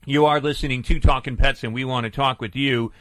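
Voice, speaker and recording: A man's voice: medium pitch, fairly even intonation, a little fast, a bit bright, dry recording, low-quality recording. A man in his fifties hosting a pet call-in radio show, chatty and jokey, also reading his own ad. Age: 50s. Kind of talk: radio call-in talk and read ad.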